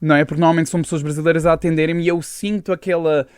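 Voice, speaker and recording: A man's voice: on the high side, clear, resonant tone, dry recording, clean studio sound. A young adult man from Brazil with a European Portuguese accent, a singer chatting casually as a radio interview guest. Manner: relaxed, quick to laugh, chatty with restarts.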